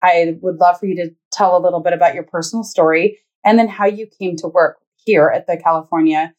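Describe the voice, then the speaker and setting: A woman's voice: fairly low pitch, steady tone, fairly quick, a little mellow, dull audio. A woman, speaking as a podcast host introducing and interviewing.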